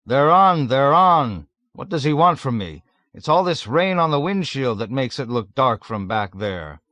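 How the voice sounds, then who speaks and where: A man's voice: mid-range voice, very animated, a little slow, pushed and bright, dry recording, pitched above the performer's own voice. Male reader as a jovial drunk army driver, brash, careless, dry close audiobook sound. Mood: boisterous. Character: boastful drunken adult male soldier driver.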